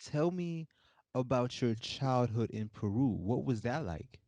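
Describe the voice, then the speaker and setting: A man's voice: mid-range voice, animated, a little slow, warm tone, no echo. A man hosting a podcast interview in a casual, upbeat, informal way.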